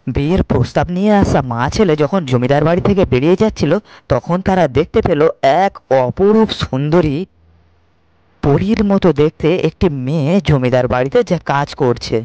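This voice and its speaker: A man's voice: a little high, animated, normal speed, warm tone, no echo, lo-fi sound. A man narrating a scripted cartoon moral story as its storyteller. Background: faint music.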